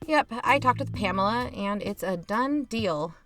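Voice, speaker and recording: A woman's voice: medium pitch, lively intonation, unhurried, fairly bright tone. A woman playing a reluctant, sardonic younger sister who hosts an investigative podcast in an audio drama, talking casually. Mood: wry, defensive. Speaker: podcast host character. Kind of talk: conversational audio fiction acting.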